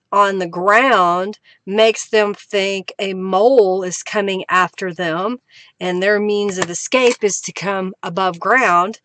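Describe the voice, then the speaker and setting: Slow-talking woman crafting live. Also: mid-range voice, sharp tone, dry recording. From Oklahoma, US. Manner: chatty, giggly, thanks viewers, hums while working. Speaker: crafting livestream host. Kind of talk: casual live crafting chat. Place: craft room at a desk.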